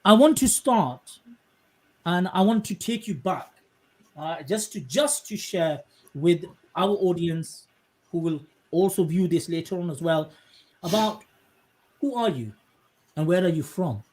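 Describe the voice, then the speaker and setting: Courteous man hosting, halting and repetitive. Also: sits high, lively intonation, low-quality recording. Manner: courteous, hesitant, repeats words while searching for phrasing. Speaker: podcast host. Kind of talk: conversational interview.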